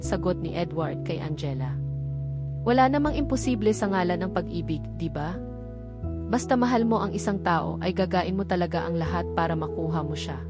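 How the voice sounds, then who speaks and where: A woman with a Filipino accent reading a scripted romance story as narrator, dialogue included. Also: lowish voice. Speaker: audiobook narrator. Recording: music bed behind the voice.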